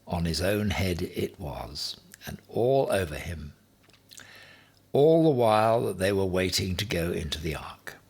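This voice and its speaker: A man reading a children's story aloud in a deliberate, expressive way with dramatic emphasis. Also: deep voice, breathy edge. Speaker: story reader.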